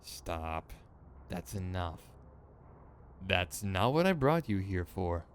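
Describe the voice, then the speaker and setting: A man's voice: low-pitched, big pitch swings, takes it slowly, a little hiss or hum, heavily acted. A man playing a theatrical, sardonic supervillain in a scripted ASMR roleplay, exasperated and smug, with mock menace and a grumbling, rambling streak. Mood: menacing, resolute.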